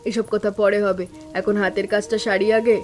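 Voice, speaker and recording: Cartoon elder daughter-in-law, female actor: patient village housewife, level delivery, mid pitch, calm, resigned, reassuring, light music behind. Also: a little fast, natural voice. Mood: resigned, dutiful. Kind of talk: animated family story acting.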